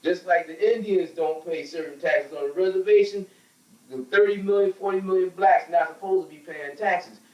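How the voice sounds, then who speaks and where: Higher-pitched American man, echoey and distant. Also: moderate pace, lo-fi sound. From United States. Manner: emphatic, preaching, repeats phrases for emphasis. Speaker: panel speaker on a religious talk show. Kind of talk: opinionated group discussion. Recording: roomy echo, distant microphone.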